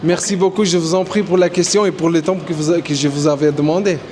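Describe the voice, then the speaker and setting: A man's voice: fairly high pitch, steady tone, quick pace, a little mellow. A man from Portugal with a Portuguese accent, speaking politely and casually as a street interviewer and video host. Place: outdoors on a city street. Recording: noisy outdoor street with traffic. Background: clear street and vehicle noise.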